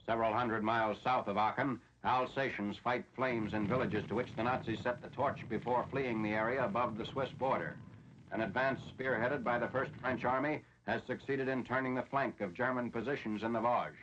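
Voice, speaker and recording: A dull, lo-fi 1940s soundtrack carries an American man narrating a military newsreel. His voice sits at a middling pitch, and he reads the script formally, as plain matter-of-fact reporting. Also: steady tone, a little fast, fairly bright tone, slight background noise.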